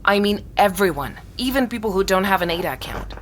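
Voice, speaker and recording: An earnest young woman, played by an actress in a naturalistic audio drama. She explains things eagerly and presses her point with conviction. Her mid-pitched voice sits over audible ambient noise. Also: lively intonation, fairly quick, natural voice. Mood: eager, explaining.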